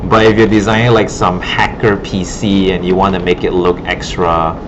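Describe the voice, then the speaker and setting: A man's voice: sits low, level tone, moderate pace. A man teaching informally as an instructor, thinking aloud in a hesitant way, recorded in a classroom. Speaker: digital art instructor. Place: indoor classroom. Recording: muffled, noisy classroom recording with air-conditioning hum.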